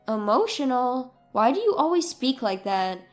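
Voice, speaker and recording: An earnest, sensitive wife acted by a woman in a narrated story. Her voice is high and sincere, over soft background music. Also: sing-song, normal speed. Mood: hurt, protesting. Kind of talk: dramatised story dialogue.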